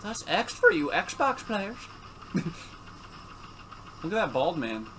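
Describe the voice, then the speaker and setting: A woman's voice: mid-range voice, wildly swooping pitch, takes it slowly, gravelly, a bit bright, rough recording. A woman co-hosting a casual let's play, commenting with crude, profane, jokey banter. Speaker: let's play co-host commenting while partner plays. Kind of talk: casual joking gameplay commentary. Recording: game music behind the voice. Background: video game music.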